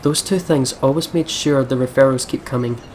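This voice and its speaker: Expressive woman reading and reacting to a game. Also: a bass voice, normal speed, slight background noise, rough recording. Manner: expressive, exclaiming, swears casually. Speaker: let's play host. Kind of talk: reading visual novel narration with casual reactive commentary.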